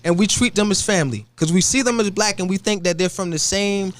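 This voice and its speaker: Emphatic high-voiced man. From Buffalo, New York. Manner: emphatic, keeps cutting in with "pause". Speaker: live stream co-host. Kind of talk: heated casual debate.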